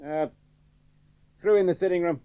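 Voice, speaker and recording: A man's voice: high voice, moderate pace, warm tone, clean tone, close to an everyday voice. An actor playing a charming, hard-drinking young male pilot in a radio drama, breezy and teasing. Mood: offhand. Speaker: aviator. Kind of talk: 1970s radio drama acting.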